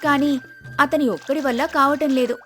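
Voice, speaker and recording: A woman's voice: sits high, fairly even intonation, moderate pace, bright, forceful tone, soft background music. A woman storyteller reading a scripted moral tale as narration.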